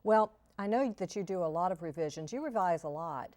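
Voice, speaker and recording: A woman hosting an interview, speaking warmly and encouragingly to her guest. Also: fairly low pitch, expressive, fairly quick, no room sound. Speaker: show host, interviewer.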